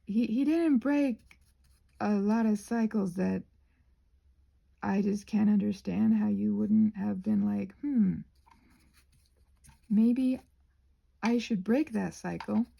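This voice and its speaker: A woman rambles casually in a mellow, relaxed voice thick with creak. Her tone is husky and breathy, often thinning toward a whisper. She talks in a halting way, interrupting herself as she goes, as on an informal ASMR vlog. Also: mid-range voice. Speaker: host of a craft ramble video.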